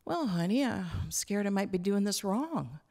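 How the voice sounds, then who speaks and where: A woman reading as a gruff but tender grandfather in his late sixties, folksy and plain-spoken, in a literary story. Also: mid-range voice, sing-song, normal speed, darkish tone, pitched above the performer's own voice. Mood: tender, earnest.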